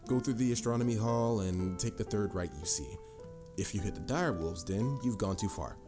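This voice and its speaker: A man's voice: on the low side, normal speed, natural voice. A man playing a confident, patient mage mentor in a fantasy audio roleplay, instructive and slightly formal in a theatrical way. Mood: storytelling, confident. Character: confident adult male mage mentor, patient, boastful, encouraging. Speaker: voice actor. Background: music.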